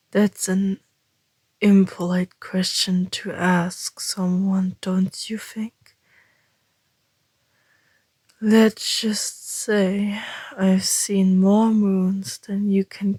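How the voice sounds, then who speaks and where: Woman playing a very slow, whispering ancient vampire. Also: medium pitch, mellow, no echo. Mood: teasing, wistful. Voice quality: breathy, often dropping into whisper. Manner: slow, deliberate, teasing, with long pauses. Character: ancient teasing vampire, calm, mysterious, flirtatious. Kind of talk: ASMR roleplay, intimate scripted monologue.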